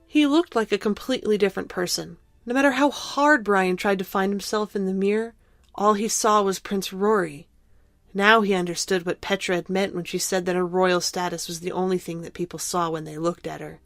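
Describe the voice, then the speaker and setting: A woman reading scripted narration for an audio drama in a measured, dramatic storytelling manner. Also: mid-range voice, fairly even intonation, a bit bright, no echo, studio quality, soft background music.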